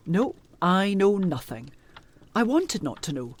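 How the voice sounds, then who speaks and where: A woman's voice: mid-range voice, sing-song, unhurried, slightly rough. A woman playing a troubled older housekeeper in a gothic audio drama, confiding hesitantly and with dread. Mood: reluctant dread. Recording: background noise and ambience.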